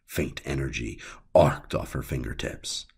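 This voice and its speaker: A male narrator reads dark fiction in an extremely low, very husky and gravelly voice. His delivery is slow, measured and brooding, broken by deliberate pauses. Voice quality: gravelly, raspy. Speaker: audiobook narrator. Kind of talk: dramatic scripted horror narration.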